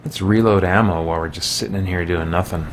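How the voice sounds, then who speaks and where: A man's voice: deep voice, steady tone, fast talker. A man giving casual gameplay commentary in an easygoing way, as if talking to himself. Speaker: host of a gaming stream. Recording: noisy, game engine sounds underneath.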